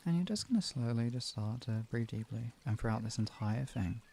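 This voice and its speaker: Male relaxation streamer, deep soft-toned voice with plenty of fry, informal and gently instructing. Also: animated, brisk. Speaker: streamer, guided relaxation host. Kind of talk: casual chat moving into guided relaxation and hypnosis instruction.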